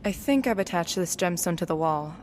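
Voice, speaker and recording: A woman playing a practical, level-headed young explorer in an audio drama, matter-of-fact and measured, in a reverberant space. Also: lowish voice, lively intonation, darkish tone, natural voice. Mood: focused. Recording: long cave-like reverb, faint noise.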